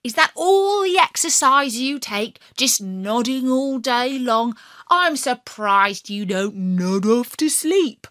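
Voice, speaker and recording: A woman's voice: on the high side, takes it slowly, dry recording, clean studio sound, pitched above the performer's own voice. A woman voicing a boastful, scornful young male hare in a children's story. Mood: mocking. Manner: scornful and excitable.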